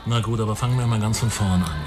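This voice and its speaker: An actor playing a businesslike police detective questioning a witness in a radio play, controlled, formal and probing. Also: male voice, on the low side, fast, music in the background, natural voice. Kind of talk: dramatic radio play dialogue.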